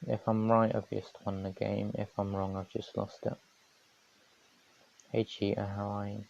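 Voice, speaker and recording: A man calmly commentating his own strategy game on a live stream, his voice going hoarse, from New Zealand. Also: on the low side, unhurried, highs cut off. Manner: calm, matter-of-fact strategy talk.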